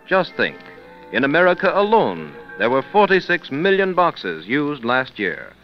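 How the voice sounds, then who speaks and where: A man's voice: on the high side, huge pitch swings, measured pace, faint music behind. A man announcing in emphatic, polished 1930s radio sales style. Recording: muffled 1930s radio recording.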